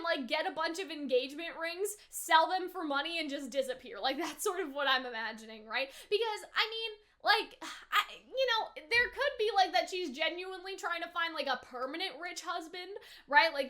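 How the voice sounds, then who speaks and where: Really high bright girly voice, woman doing a casual anime review, super animated and chatty. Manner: animated, acting out characters' lines in asides. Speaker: anime reaction video host.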